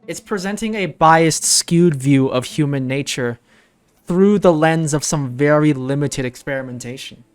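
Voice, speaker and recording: A woman's voice: low-pitched, measured pace. A woman giving casual live commentary as she reacts to a video game. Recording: game music behind voice. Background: game music.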